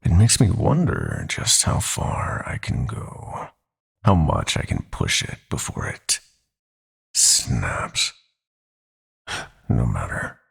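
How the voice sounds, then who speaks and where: A profoundly deep, husky villain god of nightmares for an audio roleplay. He gloats in slow, silky, mocking threats, his pitch sweeping widely over a gravelly bottom. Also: wildly swooping pitch, full character voice. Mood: gloating, silky. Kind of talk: roleplay audio drama acting.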